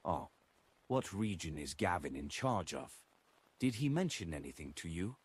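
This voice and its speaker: A man plays a stern, commanding woman in an audiobook story. The voice is low and probing, suspicious and hushed, with lively inflection. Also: moderate pace, slightly rough, exaggerated voice. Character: stern, awe-inspiring woman questioning an informant. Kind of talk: audiobook character dialogue.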